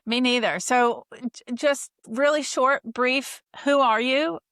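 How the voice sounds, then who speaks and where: A woman's voice: sits high, slow pace, a bit bright, smooth, no echo, studio quality. A woman hosting a podcast interview, chatting enthusiastically and rambling with restarts. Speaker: podcast host. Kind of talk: casual interview chat.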